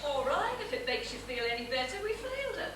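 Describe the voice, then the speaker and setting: A woman's voice: high voice, sing-song, fast. An actress playing a fretful, flustered middle-aged mother of a bride in a live comic stage play. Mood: placating. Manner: fretful, plaintive, theatrical. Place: live stage in a small theatre. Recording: echoey theatre, distant mic, audience noise. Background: audience.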